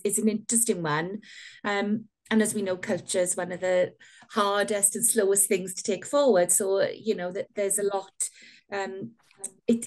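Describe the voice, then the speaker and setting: A woman board member speaking warmly and appreciatively on a video call from a small office, fluent in Welsh. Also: medium pitch. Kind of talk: formal meeting remarks.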